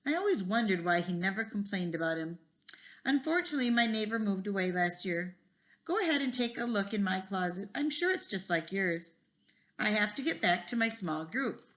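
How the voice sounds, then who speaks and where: A woman's voice: medium pitch, animated, moderate pace, fairly bright tone, natural voice. A woman voicing a friendly, easygoing male computer teacher in a storybook, with a folksy, explanatory way of talking. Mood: reminiscing.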